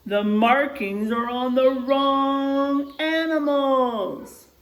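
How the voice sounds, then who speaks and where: A woman's voice: sits high, extremely slow, voice pushed up. A woman voicing outraged, bewildered animals in a children's storybook, with dramatic exclamations.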